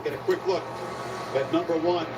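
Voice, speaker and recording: A man commentates on football in broadcast style, his voice slightly high. He is heard from a distance through very loud noise. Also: steady tone, normal speed.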